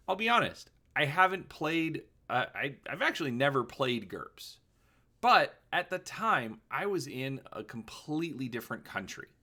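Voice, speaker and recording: Guy in his 40s chatting about his old game books, lively, lots of ums and restarts. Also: medium pitch. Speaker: host of a gaming channel. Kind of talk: casual show-and-tell talk.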